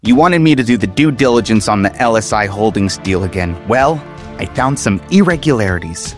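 A man's voice: fairly low pitch, expressive, a little fast, faint music behind, lightly acted. A man voicing an ambitious, smirking corporate lawyer who insinuates things in a comic story reading. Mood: self-important.

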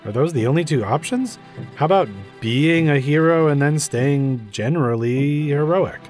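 Man as a high, flustered police commissioner. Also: moderate pace, darkish tone, soft background music, lightly acted. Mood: confused, pleading. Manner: stammering, rambling, anxious. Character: flustered, earnest, pleading male police commissioner. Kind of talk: comic audiobook-style reading.

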